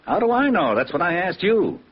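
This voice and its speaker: Fast, exasperated police lieutenant, swooping voice. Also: male voice, sits high, sing-song, slightly rough, full character voice. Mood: flustered, exasperated. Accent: American. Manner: exasperated, blustering. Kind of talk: 1940s radio comic-drama acting. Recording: dull vintage radio recording.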